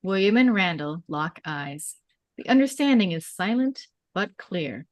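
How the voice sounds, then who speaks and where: A woman's voice: lowish voice, animated, a little slow, dry recording. Woman reading screenplay directions, dry sound. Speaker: stage directions reader. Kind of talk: scripted narration at a table read.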